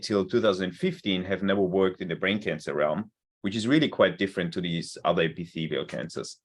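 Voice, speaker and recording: A man with an Austrian accent and a German mother tongue speaks over a video call. His voice sits very low, and his talk is earnest, with frequent repeated words as he looks for the right phrasing. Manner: earnest, repeats words while searching for them. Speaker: cancer researcher. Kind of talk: webinar talk.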